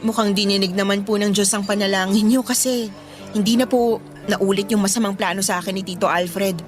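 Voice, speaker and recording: Woman playing young Filipina, respectful, burdened, sentimental, medium pitch, radio drama, prominent background music. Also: fairly even intonation, fairly quick, natural voice. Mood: polite, curious. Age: young adult.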